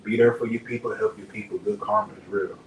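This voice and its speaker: A man's voice: on the low side, steady tone, fast talker. A young man from Dallas, a rapper and podcast guest, talking in a laid-back, slangy way, looping through filler phrases. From Dallas, Texas. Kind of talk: relaxed interview chat. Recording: echoey room, mic far off, faint hum.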